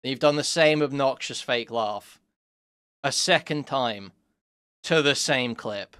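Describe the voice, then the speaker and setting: A man's voice: medium pitch, measured pace, a bit bright, smooth, no echo, clean studio sound. A man in his thirties, a streamer, talking in a sarcastic, mocking way while reacting to a clip. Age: 30s. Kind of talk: casual livestream commentary.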